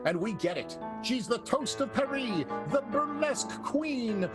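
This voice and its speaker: A woman's voice: fairly high pitch, lively intonation, measured pace, loud music behind the voice. A woman narrating a comic radio drama as announcer, wry and theatrical.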